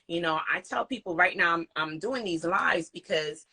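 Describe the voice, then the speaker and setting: A woman's voice: fairly low pitch, moderate pace, breathy edge. A woman hosting a casual live-stream interview, talking earnestly and with feeling, acting out what others said to her. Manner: earnest, animated storytelling with emphatic quoted speech. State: recovering from voice loss. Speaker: interview host and parent advocate. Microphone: video call.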